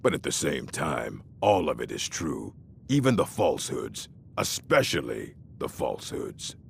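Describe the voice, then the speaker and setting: An actor playing a gruff, curmudgeonly old orc librarian in a video game, deep and gravelly, with a grumbling, condescending, scholarly manner. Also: male voice, big pitch swings, measured pace, a little hiss or hum, exaggerated voice. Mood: solemn, lecturing. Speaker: game character.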